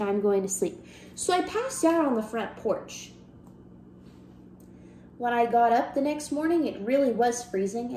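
A woman's voice: a little high, a little mellow. A woman playing a bitter, self-mocking character in a wry, conversational stage monologue. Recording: roomy echo with faint background noise.

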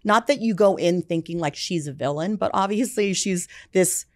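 Low-voiced woman guest, chatty and dry. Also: normal speed, darkish tone, dry recording. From United States. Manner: chatty, many fillers like "you know" and "like". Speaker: film music executive, guest. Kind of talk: casual interview chat. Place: dark studio set, seated in armchair. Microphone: podcast mic on boom arm.

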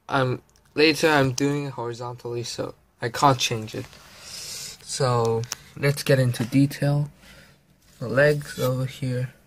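Young male, teens or early twenties, hobby video creator. Unhurried, halting casual delivery at a middling pitch, unscripted, phone-recorded at home. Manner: halting, informal, stops to narrate handling the pieces. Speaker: hobby video creator presenting a toy build. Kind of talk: casual unscripted show-and-tell. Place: indoors, small room at home. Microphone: handheld phone behind the camera.

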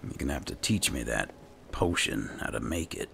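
Super deep voiced guy with heavy vocal fry, chatting casually through a game playthrough, game noise behind. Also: expressive, moderate pace, fairly bright tone. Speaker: gaming video host. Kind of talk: casual roleplay game commentary. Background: video game ambience.

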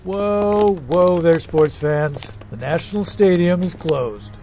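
An actor playing a folksy, patronizing security guard who gives ominous warnings in an audio drama. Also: male voice, high voice, slow, dark tone, soft background music. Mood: amused, cautioning.

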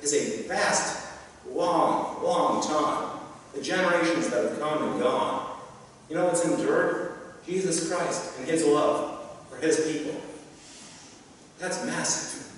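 A man's voice: fairly high pitch, slow pace, long pauses, slight background noise. A young man preaching a sermon from a pulpit, earnest and encouraging, with light humorous asides. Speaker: pastor. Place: church sanctuary, speaking from a pulpit. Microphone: pulpit microphone. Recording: echoey sanctuary, heard from a distance.

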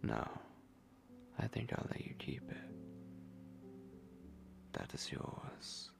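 A man playing a possessive, commanding vampire lord in an ASMR roleplay, speaking in breathy, gravelly whispers with slow, menacing condescension. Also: over music, natural voice. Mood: possessive, condescending. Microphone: close microphone.

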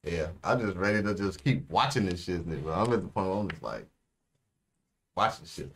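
A man's voice: low voice, expressive, moderate pace, a bit bright, a little hiss or hum. A young man co-hosting an anime reaction show, chatting in a laid-back, slangy way. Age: young adult. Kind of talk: casual reaction chat. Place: small indoor room, seated.

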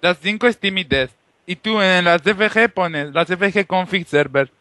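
A man playing an insistent, boastful foreign gamer on a prank call, halting and repetitive, with a put-on Moroccan accent. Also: high-pitched, pushed and bright, no room sound. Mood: pushy, boastful.

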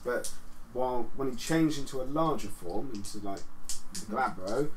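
A man acts as game master at a tabletop session. He explains rules and describes scenes step by step at a middle pitch. The recording has some faint room noise. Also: animated, moderate pace. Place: living room with a group around a table. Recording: noisy room, mic away from speakers.

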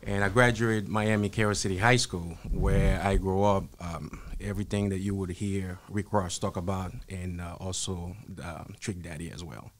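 A man, a podcast guest answering in a measured, earnest way. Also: low-pitched, fairly even intonation, slight background noise. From Haiti. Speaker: guest, author. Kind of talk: interview answers. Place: podcast studio, indoors. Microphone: studio mic on arm, headphones.

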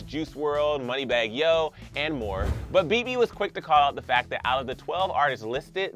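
A young man with an American accent presents scripted celebrity news in a bright, forceful voice. His pitch sits in a normal male range and his delivery is punchy and emphatic. Light music plays underneath. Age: young adult. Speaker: entertainment news host. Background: faint music.

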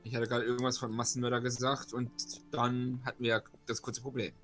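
A man's voice: medium pitch, monotone, a little fast, a bit bright. A man talking casually as a player in an online role-playing session. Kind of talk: casual game chat. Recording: online voice call, background music.

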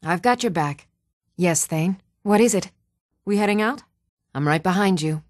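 An actress playing an earnest, dutiful woman of a fantasy realm in a video game, speaking in a measured, composed and formal way. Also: lowish voice, lively intonation, no echo, clean studio sound, close to an everyday voice. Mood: loyal, steady.

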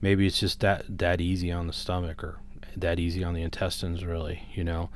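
A man's voice: deep voice, completely flat delivery, fairly quick, slight background noise. A man in his fifties talking casually and unscripted to camera as a vlogger, giving advice in a rambling, hesitant, conversational way, close to the microphone. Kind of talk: casual unscripted vlog talk. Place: small room at home, indoors. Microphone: large microphone on a boom arm, close up.

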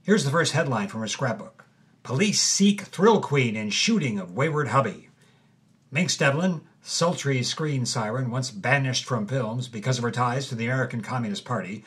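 A man's voice: mid-range voice, fairly bright tone, slightly rough. A man co-hosting and narrating a story, reading old headlines aloud with wry relish. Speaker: co-host narrator. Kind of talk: scripted narration with chatty asides.

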